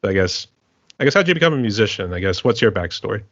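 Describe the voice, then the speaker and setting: Fast-talking low-voiced American podcast host. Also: male voice, sing-song, a bit bright, dry recording, dull audio. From United States. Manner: rambling, repeats filler phrases like "here, too". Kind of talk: casual interview hosting.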